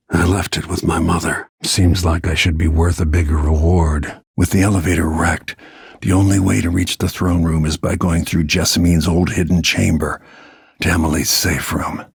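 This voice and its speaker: A grim middle-aged assassin dude from a video game, deep gravelly husky voice, super dry close sound, terse and broody. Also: male voice, flat delivery, moderate pace, no room sound, heavily acted. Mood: grim, brooding. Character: grim, battle-worn royal protector and assassin, protective father. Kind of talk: scripted video game character lines.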